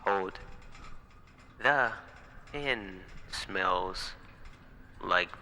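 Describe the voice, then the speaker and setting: Male actor as exhausted young watchman, horror audio drama. Deliberate pace, hard forceful edge, haunted and dramatic. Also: deep voice, full character voice. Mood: hushed, afraid. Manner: halting, dramatic, breaking into whispers. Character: exhausted, frightened young night watchman recording desperate log entries. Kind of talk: first-person horror audio log narration.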